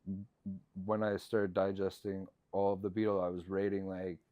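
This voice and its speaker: Deep-voiced man talking haltingly. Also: flat delivery, no echo, phone-quality sound. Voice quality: low voice. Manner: halting, many fillers and trailing thoughts. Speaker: musician guest. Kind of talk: casual interview.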